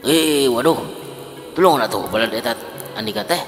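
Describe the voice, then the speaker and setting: A man's voice: medium pitch, big pitch swings, normal speed, gravelly, pitched above the performer's own voice. A man voicing a panicking wounded male fighter in a folk tale, wailing and pleading with a Sundanese accent. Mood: alarmed. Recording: long reverb, music behind.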